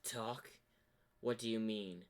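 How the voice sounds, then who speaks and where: A wary zebra hero, voiced by a man in a fan-made fantasy cartoon. He is distrustful and terse, asking things in a measured, slow way. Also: fairly low pitch, fairly even intonation. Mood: suspicious. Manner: terse, questioning.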